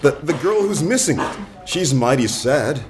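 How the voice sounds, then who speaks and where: A man playing a wisecracking hardboiled detective in a noir radio drama, dry and deadpan with his wordplay. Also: a little high, normal speed, over music. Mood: wry, persistent.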